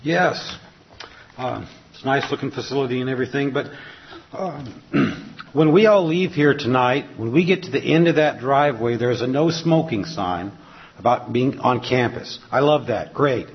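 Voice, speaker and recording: A man's voice: medium pitch, expressive, normal speed. Board member, male, dry humor, blunt, muffled lo-fi audio. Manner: wry, plainspoken complaint. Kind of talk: public meeting remarks.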